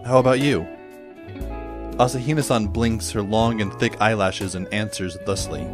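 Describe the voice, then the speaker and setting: A man's voice: lowish voice, normal speed, music in the background, natural voice. A man playing a wry, long-suffering teenage schoolboy who narrates an audio drama in a dry, literary, sardonic way. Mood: admiring, dry.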